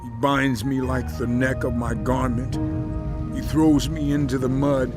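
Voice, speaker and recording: An actor playing a suffering righteous man in a dramatized audio Bible, with solemn, impassioned, measured delivery. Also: medium pitch, flat delivery, over music, natural voice. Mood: anguished, pleading.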